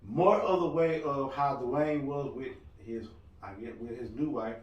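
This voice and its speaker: A loud-voiced man chatting on a podcast, rambling and cracking jokes in an easy, mid-pitched voice. He sits well back from the mic, and the room adds a roomy echo around him. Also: unhurried, distant mic, highs cut off. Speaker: podcast co-host. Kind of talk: casual podcast chat.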